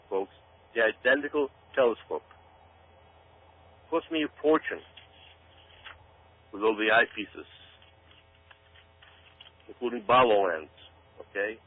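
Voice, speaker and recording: A man's voice: fairly low pitch, slightly rough. Man on a tinny phone line, emphatic commentary. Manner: emphatic, folksy, addressing listeners directly. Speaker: commentator giving his opinion. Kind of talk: informal monologue commentary.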